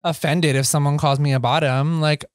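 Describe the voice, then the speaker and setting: A man's voice: a little high, steady tone, moderate pace, dry recording, studio quality. A man co-hosting a podcast, chatting casually in a feminine-sounding voice. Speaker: podcast co-host.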